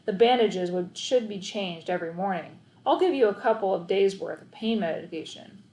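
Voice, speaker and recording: A woman voicing a calm, reassuring male veterinarian in a children's story read-aloud, matter-of-fact and measured. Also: medium pitch, natural voice, poor audio.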